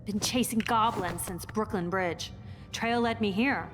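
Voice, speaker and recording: A woman playing a brisk, no-nonsense superhero in a video game, dry and terse. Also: medium pitch, lightly acted. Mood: cool, brisk. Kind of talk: animated video game cutscene acting. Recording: loud music behind the voice.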